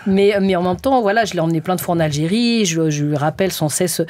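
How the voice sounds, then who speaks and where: A woman's voice: lowish voice, fast talker, a little mellow. A woman in her forties from France, a guest conductor answering interview questions in an earnest, hesitant way with many fillers. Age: 40s. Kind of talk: casual interview talk.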